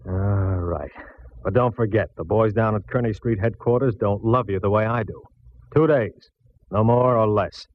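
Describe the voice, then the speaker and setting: Low-voiced suspicious radio cop played by a man. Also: moderate pace, a little hiss or hum, lightly acted. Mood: suspicious, firm. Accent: American. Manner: clipped, probing, wisecracking. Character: gruff, suspicious male police detective, fondly teasing. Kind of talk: 1940s radio detective acting. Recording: tinny, band-limited old radio recording.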